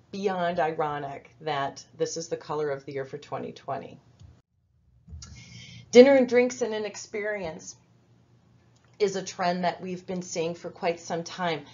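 A middle-aged woman presents a virtual training in a relaxed, conversational way, often pausing on fillers and restarting her phrases. Her voice sits a little low for a woman. The recording is dull and lo-fi, like webinar audio. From United States. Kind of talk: webinar presentation over slides.

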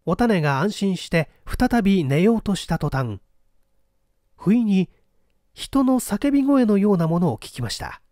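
A man reads a scripted mystery story in a measured storyteller's delivery. His voice sits a little high for a man and moves through a wide, lively range of pitch. The sound is dry and close, with no room around it. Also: no room sound. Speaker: audiobook narrator, small-theatre stage actor. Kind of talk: scripted narration, mystery novel read aloud.

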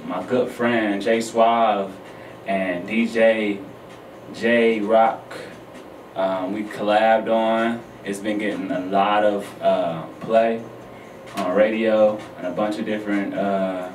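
An American man in his thirties from Detroit, a guest musician chatting casually in a radio interview, pausing between phrases. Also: fairly low pitch, level tone. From Detroit, United States. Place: small radio studio. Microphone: studio boom microphone. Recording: roomy, distant mic, faint noise.

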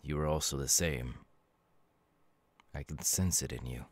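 A gentle, melancholy demon who was once human , acted by a man in an ASMR audio roleplay. The voice is extremely low and slow, close and very dry, with reflective pauses between phrases. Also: no room sound. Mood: calm, wistful. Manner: measured, pausing, reflective. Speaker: voice actor.

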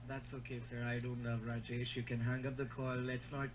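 A man's voice: medium pitch, monotone, fairly quick. A man, speaking firmly and formally as a technical support agent on a phone call. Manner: firm, formal.

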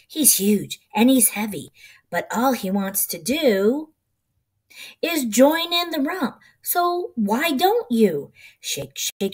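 A middle-aged woman reading a children's picture book aloud in an expressive, rhythmic, sing-song way. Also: a little high, breathy edge.

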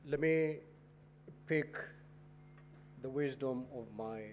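A middle-aged man with a Kenyan English accent presiding as Speaker over formal chamber proceedings, speaking with halting authority through a desk microphone. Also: mid-range voice, darkish tone, slight background noise. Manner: authoritative, halting, with rising emphasis. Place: large parliamentary chamber.

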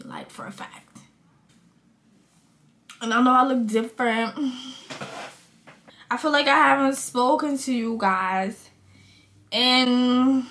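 A young woman talking casually to her phone camera in a small bedroom, relaxed and chatty with drawn-out fillers. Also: high-pitched. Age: young adult. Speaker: vlogger. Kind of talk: casual vlog talk to camera. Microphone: phone held close.

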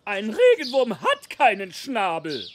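Scholarly cartoon gander, pompous, slow, extremely animated pitch, lecturing. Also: female voice. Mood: haughty. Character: pompous, learned male goose schoolmaster. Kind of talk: children's animated audio-story acting.

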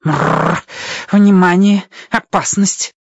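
A man's voice: high voice, fairly even intonation, extremely slow, no echo, lo-fi sound, pitched above the performer's own voice. A man voicing an urgent, protective mother fox who growls and yaps between short commands in an audio story. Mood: urgent alarm.

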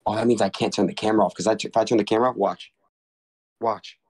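A man's voice: on the low side, steady tone, fast, a little mellow. A young man in his twenties livestreaming from a bedroom, talking restlessly to his chat and friends with lots of swearing. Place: bedroom in a house.

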